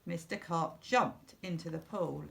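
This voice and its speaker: Woman, storybook narrator, slow, creaky, expressive, deliberate phrasing. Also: on the low side. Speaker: storybook reader.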